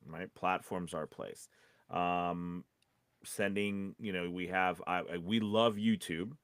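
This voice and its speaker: A man's voice: sits low, steady tone, slow, fairly bright tone, smooth, dry recording. A man co-hosting a casual livestream chat, speaking in an informal, enthusiastic way. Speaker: host.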